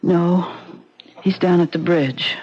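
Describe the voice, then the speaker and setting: A flustered young wife. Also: female voice, on the low side, steady tone, slow pace, darkish tone, slightly rough. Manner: hesitant, flustered. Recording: muffled old radio recording, faint hiss.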